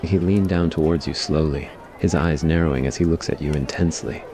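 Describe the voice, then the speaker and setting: A man narrates scripted ASMR fiction. His voice is extremely low in pitch, with a dark, relaxed tone suited to intimate storytelling. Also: a little fast, slight background noise.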